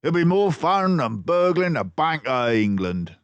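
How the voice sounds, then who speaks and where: A man voicing a menacing mouse gangster in a storybook, taunting in rough slang. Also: on the high side, sing-song, a little slow, dry recording, highs cut off, pitched above the performer's own voice. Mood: jeering. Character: menacing, jeering male mouse gangster villain.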